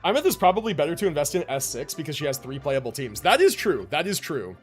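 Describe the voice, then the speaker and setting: A man's voice: a little high, big pitch swings, quick pace, faint music behind. A man casually commentating a live game stream, chatting with viewers in rambling, informal gamer slang.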